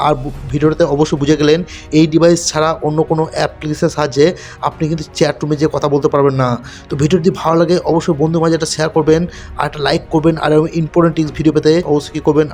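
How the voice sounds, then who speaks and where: A man's voice: fairly high pitch, a little mellow. A man narrating a step-by-step tech tutorial, repetitive and insistent as he addresses viewers as friends. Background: background music.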